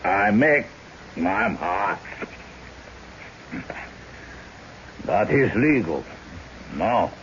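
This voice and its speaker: Menacing outsider, dull radio sound. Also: male voice, lowish voice, very animated, slow, fairly bright tone, exaggerated voice. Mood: curt, defiant. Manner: terse broken English with foreign phrasing. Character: surly foreign hard man, gruff, menacing outsider. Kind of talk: old-time radio drama acting. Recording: dull noisy radio broadcast, background chatter.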